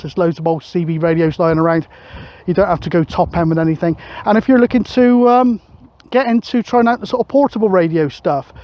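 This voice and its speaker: A middle-aged man narrates a casual outdoor vlog, thinking aloud with hesitant pauses. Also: high voice, darkish tone. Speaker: radio hobbyist vlogger. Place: open hilltop field, outdoors. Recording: outdoor recording with wind noise.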